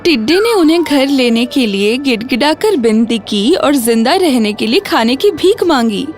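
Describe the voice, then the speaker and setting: High-voiced woman narrating a children's story. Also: expressive, moderate pace. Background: music.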